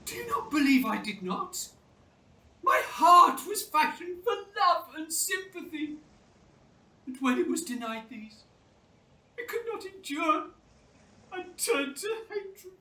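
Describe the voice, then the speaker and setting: High, anguished, lonely monster. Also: very animated, slow pace, pauses often, sharp tone, echoey room, recorded from a distance, exaggerated voice, rough recording. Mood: pleading, sorrowful. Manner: anguished, formal, theatrical declaiming. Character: tormented, lonely, self-loathing monster made by Frankenstein. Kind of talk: radio play acting.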